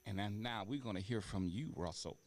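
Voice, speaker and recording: A man's voice: on the low side, a little fast, darkish tone. A man hosting a talk show, chatting in an unscripted way. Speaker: talk show host and stage actor.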